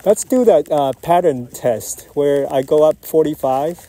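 A man flying a model jet outdoors, calmly calling out each maneuver in short phrases as he flies. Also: a little high, measured pace. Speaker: RC jet pilot. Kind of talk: live flight commentary. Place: outdoors at an RC airfield.

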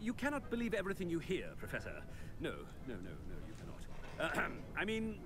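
A man voicing a pompous, flustered male headmaster who blusters and hesitates, clearing his throat. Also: high voice, wildly swooping pitch, fairly quick, exaggerated voice.

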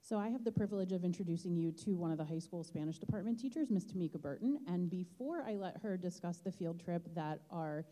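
A woman's voice: fairly low pitch, fast talker, few pauses, dark tone. A woman school administrator introducing a teacher at a board meeting, chatty with frequent fillers. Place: large school auditorium. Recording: auditorium with long reverb.

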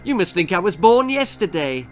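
Older man doing a high-pitched, cheeky sulking boy. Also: big pitch swings, moderate pace, faint music behind. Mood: defiant. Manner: defiant, taunting. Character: stubborn, sulky, cheeky young boy.

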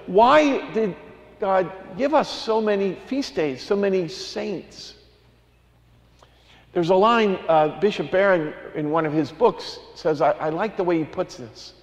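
Male priest, homily, sung verses and plain talk, high voice, long echo. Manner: sings a long cumulative list, then talks plainly and conversationally.

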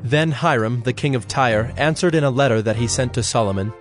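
A man narrating scripture from a script in a measured, formal way. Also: medium pitch, faint music behind.

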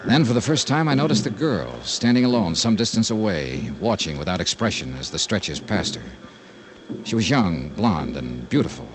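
A tough, dogged radio detective from the 1950s. His voice is low with a wide, dramatic swing in pitch, terse and wry in the hardboiled manner. The old recording has a light hiss. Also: very animated, moderate pace. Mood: brooding. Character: Johnny Dollar, tough, dogged male investigator. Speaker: lead actor and narrator.

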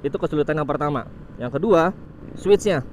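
A young man, giving casual motovlog commentary while riding, relaxed and informal, often saying "guys". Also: on the high side, fairly quick, slight background noise. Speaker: motovlog host. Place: on a motorcycle on a city road outdoors.

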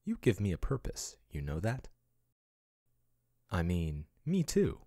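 A man playing a breathy, doting yandere AI chatbot. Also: low voice, wildly swooping pitch, slow, no echo, studio quality, exaggerated voice. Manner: soft, intimate, doting, turning possessive. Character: male AI chatbot, soothing then possessive yandere. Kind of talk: ASMR boyfriend roleplay.

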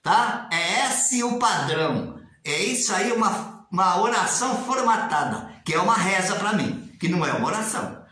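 A man's voice: high-pitched, moderate pace. Echoey older Brazilian man preaching. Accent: Brazilian Portuguese. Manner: animated, gestures a lot, mimics formal prayers in a raised sing-song voice. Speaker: religious commentator. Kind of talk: casual video commentary. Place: small tiled room indoors. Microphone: webcam at desk distance. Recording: very echoey tiled room.

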